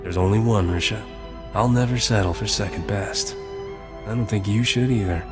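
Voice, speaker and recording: Game casting: actor as roguish romantic male smuggler, low voice, cocky and suave, score underneath. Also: moderate pace, music in the background, close to an everyday voice. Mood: suave, flirtatious. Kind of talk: video game cutscene acting.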